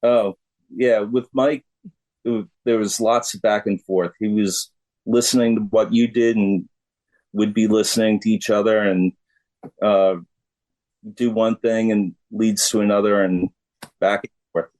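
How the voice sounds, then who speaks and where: A man's voice: on the low side, flat delivery, a little slow, darkish tone, poor audio. A middle-aged American man, a musician chatting in a laid-back, plain-spoken way as an interview guest. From United States. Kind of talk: casual interview conversation.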